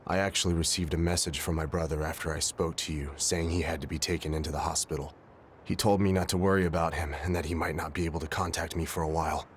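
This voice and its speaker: Very deep, courteous bereaved brother. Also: male voice, steady tone, quick pace, a little hiss or hum. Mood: subdued, apologetic. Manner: formal, polite, composed. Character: courteous, sorrowful bereaved brother, dignified and forgiving. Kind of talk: English video game voice acting. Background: loud background music.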